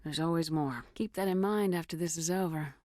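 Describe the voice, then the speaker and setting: A woman's voice: low voice, brisk, dark tone, no echo, clean studio sound, lightly acted. A woman playing a confident female military starship commander in a video game, with assured, clipped, dryly teasing authority. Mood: firm, composed. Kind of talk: scripted video game dialogue.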